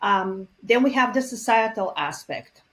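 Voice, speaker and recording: A woman speaks as an interview guest at an ordinary mid pitch. Her manner is earnest and reflective, full of small pauses and fillers as she works through her thoughts. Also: animated, a bit bright. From Bulgaria. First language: Bulgarian. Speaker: guest expert on multilingual parenting. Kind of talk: conversational interview.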